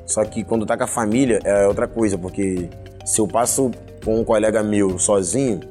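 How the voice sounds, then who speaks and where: A man's voice: fairly low pitch, steady tone, moderate pace, mellow, soft background music. A young man with a Carioca Brazilian accent, telling personal stories casually and colloquially in an interview. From Rio de Janeiro, of Angolan family. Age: young adult. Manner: colloquial, slangy. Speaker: hip hop musician interviewee.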